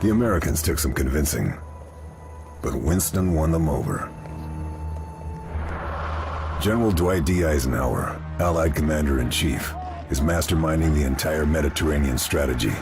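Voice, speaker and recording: An actor playing a grim, resolute World War Two sniper, narrating a video game cutscene in a terse, hard-boiled, gravelly voice. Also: male voice, expressive, a little fast, music in the background, heavily acted. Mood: measured, grave. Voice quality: very deep, gravelly. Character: grim, resolute male WWII Allied sniper agent on a mission. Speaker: narrating protagonist.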